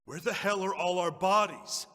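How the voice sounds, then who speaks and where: A man playing a brash, sarcastic soldier in a video game, blunt and slangy, with a husky voice. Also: sits high, moderate pace, bright, forceful tone. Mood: irritated. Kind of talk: video game cutscene acting. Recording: long reverberant echo.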